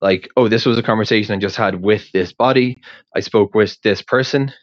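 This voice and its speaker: A native English-speaking man thinks aloud in a casual, rambling voice memo. His fairly low voice is hesitant and often doubles back on itself. The recording is dry and slightly muffled, as from a small recorder. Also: no room sound. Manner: hesitant, halting, circling back and repeating words. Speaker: podcast creator sending in a question.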